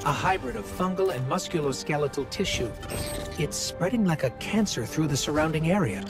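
A man's voice: on the high side, animated, a little fast, over music. A man playing an earnest, anxious little robot companion in a video game, speaking in a precise, analytical way. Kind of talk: scripted video game dialogue.

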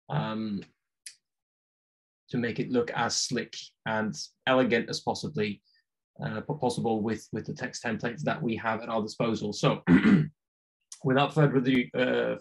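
A man whose first language is Norwegian talks through a software tutorial over a video call. His voice has a gravelly edge and he speaks loosely, filling pauses with ums. He sounds like he is at home, in a room lined with bookshelves. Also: mid-range voice, low-quality recording. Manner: relaxed, chatty, many ums and uhs. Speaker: video templates trainer.

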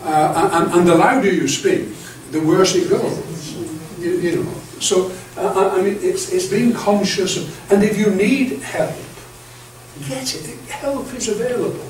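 A man's voice: high-pitched, unhurried. Older man lecturing in an echoey room. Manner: chatty, anecdotal, many restarts and stammered repeats. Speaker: bishop leading clergy training. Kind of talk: lecture to clergy. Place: classroom-sized meeting room with projector screen. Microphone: far camera. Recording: echoey room, far from the mic, faint hiss.

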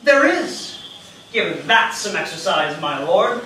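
High-voiced principled statesman played by a man, echoey stage. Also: sing-song, bright, forceful tone, exaggerated voice. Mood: impassioned. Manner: declamatory, measured, formal. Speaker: stage actor. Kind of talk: theatrical period stage acting. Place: large theatre stage, indoors. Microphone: distant camera in the audience. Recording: echoey theatre from far off, background noise.

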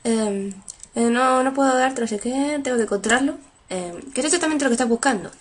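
A woman's voice: on the high side, brisk, slightly rough. Chatty young woman gamer. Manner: chatty, translating on the fly with many fillers. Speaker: gameplay streamer. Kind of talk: playing and reading game dialogue aloud. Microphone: headset.

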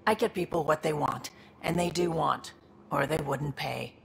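A woman's voice: low-pitched, normal speed, vocal fry, slight background noise. An actress playing a wry young woman crew member who trades on the side, teasing and breezy. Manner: teasing, breezy, laughs easily.

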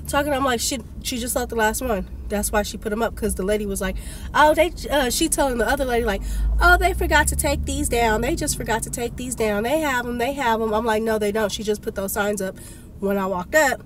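A young woman talks into a dashboard phone from her parked car. She sounds sick and congested, but she tells her story animatedly and vents with plain irritation. Her voice sits a little high, with a faint hum of car noise behind it. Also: lively intonation, brisk. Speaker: vlogger. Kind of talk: casual vlog rant. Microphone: phone camera on dashboard.

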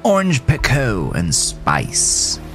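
Man doing a courteous, eerie horse gentleman, pitched up. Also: wildly swooping pitch, slow pace, over music, heavily acted. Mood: courtly, pleased.

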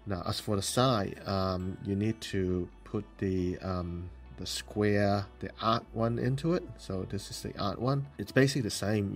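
A man hosting a model-building show, explaining the steps plainly, with pauses and ums. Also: lowish voice. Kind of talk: hobby tutorial voice-over.

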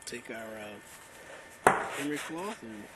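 A man walks through a hands-on plumbing lesson, talking in a plain, unhurried way and pausing often to find the next term. His voice sits at a middle pitch. The recording comes from a camera near the work, with loud noise behind him. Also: lively intonation. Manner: plain, unhurried, many "uh" pauses between terms. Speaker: plumbing instructor. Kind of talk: casual how-to narration over hands-on work. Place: indoors on a concrete floor, working close to the camera.

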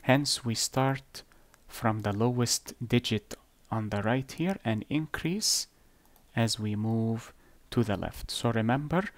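A man whose first language is Arabic, explaining number systems slowly and deliberately over an on-screen drawing, with blunt asides. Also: medium pitch, no echo. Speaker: math teacher. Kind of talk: screen-recorded whiteboard lesson.

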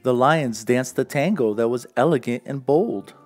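A man's voice: mid-range voice, moderate pace. Male children's story reader over music. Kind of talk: read-aloud of a rhyming picture book.

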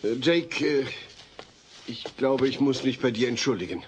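A man's voice: medium pitch, expressive, normal speed, lo-fi sound, close to an everyday voice. An actor playing an earnest, middle-aged family father, hesitant, formal and long-suffering, in a sitcom audio play. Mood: awkward, earnest. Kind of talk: German-dubbed sitcom audio play acting. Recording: noisy old cassette audio play.

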